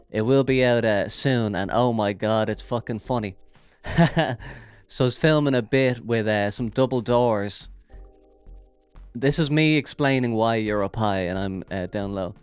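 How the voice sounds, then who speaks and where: Irish male podcast host, mid-pitched, smooth clear delivery, rambling, jokey chat. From Ireland. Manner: rambling and jokey, with frequent fillers. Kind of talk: casual solo podcast chat. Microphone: big studio microphone.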